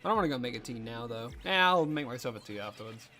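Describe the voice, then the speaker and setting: A man's voice: mid-range voice, expressive, fast, bright, forceful tone, faint music behind. A young man streaming a game, sounding stuffy and nasal from allergies, chatting casually with viewers and musing aloud with fillers. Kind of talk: casual live-stream chat while gaming.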